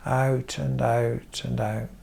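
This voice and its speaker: A man guiding a meditation as a therapist, giving gentle instruction in short, measured phrases with frequent pauses. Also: mid-range voice, level tone, gravelly, breathy edge. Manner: measured, pausing often between short phrases. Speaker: therapist leading guided meditation.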